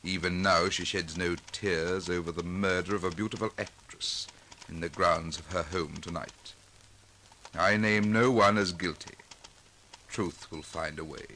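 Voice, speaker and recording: An actor playing a bitter, resolved male newspaper columnist in a measured, grave 1940s radio drama monologue. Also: sits low, sharp tone. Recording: noisy old radio recording, clicks.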